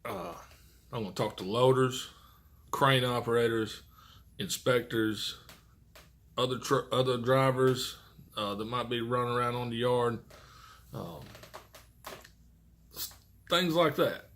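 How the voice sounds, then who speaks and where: A man's voice: mid-range voice, slow, pauses often, a bit bright. An American man who works as a truck driver, giving casual, rambling tips in blunt, profane, folksy vernacular. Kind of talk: casual rambling vlog monologue.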